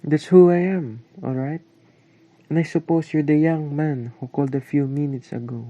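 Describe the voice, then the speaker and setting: A man's voice: a little high, steady tone, moderate pace, mellow, no echo, highs cut off, lightly acted. A man acting a stern, irritable father in an amateur radio play, speaking in a curt, commanding way. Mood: grumpy.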